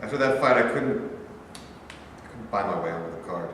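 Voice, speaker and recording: A man's voice: fairly low pitch, lively intonation, brisk, a bit bright, slight background noise. An actor in his thirties in a staged play reading, playing a bitter, defensive former boxer. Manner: defensive, flares up angrily. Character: bitter former boxer, a young man gone stale. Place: large rehearsal studio. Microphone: far camera. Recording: roomy echo from a far camera.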